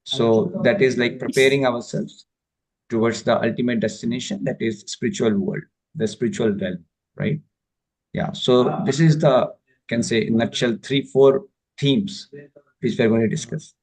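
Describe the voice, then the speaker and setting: A man's voice: mid-range voice, normal speed, darkish tone, low-quality recording. A man from India speaks with an Indian accent, teaching a spiritual class online. He explains things patiently, with many fillers and frequent checks that his listeners follow. The sound has a video call quality. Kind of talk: online lecture.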